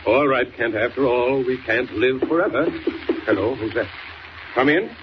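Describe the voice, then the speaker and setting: A man's voice: medium pitch, normal speed, lightly acted. Bluff male governor, acted by a man, muffled old radio. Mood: hearty, businesslike. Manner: folksy, commanding, brusque. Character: bluff, hearty, fearless male state governor. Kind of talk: 1940s radio acting. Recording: dull, noisy old radio recording.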